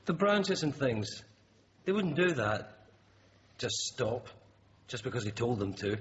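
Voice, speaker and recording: An actor playing a desperate, exhausted hostage-taker in a radio drama, agitated and abrupt in manner. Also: male voice, medium pitch, very animated, a little slow. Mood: weary, brusque. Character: desperate, volatile, exhausted gunman holding hostages. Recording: dull, noisy radio drama recording.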